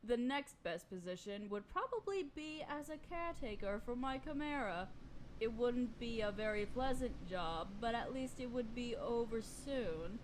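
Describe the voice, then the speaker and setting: A woman's voice: on the high side, animated, noisy background. A woman playing an imperious evil queen and sorceress in a fantasy audio roleplay, speaking formally with pompous, condescending menace. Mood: smug, threatening. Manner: formal, pompous, condescending, slow deliberate delivery. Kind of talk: scripted fantasy audio roleplay addressed to listener.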